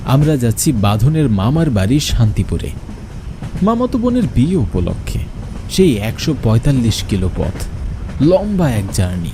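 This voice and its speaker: A man's voice: medium pitch, moderate pace. A man giving a theatrical first-person narration of a horror story. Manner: expressive, theatrical. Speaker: narrator and lead character. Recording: loud train noise behind.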